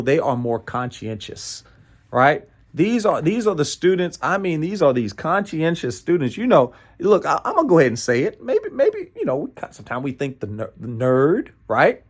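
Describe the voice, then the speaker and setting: An American man presenting a motivational training talk in an emphatic, playful way, repeating phrases and often checking in with "right?". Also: a little high. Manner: playful asides and chuckles.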